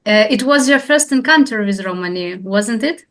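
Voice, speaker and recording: A woman with a fairly high voice hosts an interview podcast. She opens in a polite, formal way and asks her questions with small hesitations. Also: animated, moderate pace. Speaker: podcast host. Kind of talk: interview hosting.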